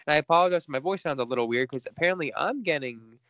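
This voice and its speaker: A man's voice: fairly high pitch, expressive, racing, a bit bright, no room sound, dull audio. A man with a stuffy nose, co-hosting a casual chat about movie news in a frank, chatty way. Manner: chatty, frank, laughs between points. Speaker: podcast co-host.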